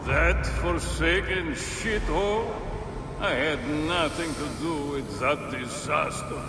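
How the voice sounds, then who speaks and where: Echoing, slow, haughty conspirator. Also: male voice, a little high, noisy background. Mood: contemptuous, defiant. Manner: cold, formal, measured. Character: haughty, menacing male conspirator, ancient royal scribe. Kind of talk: dramatic video game acting. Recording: echoing large hall, music behind.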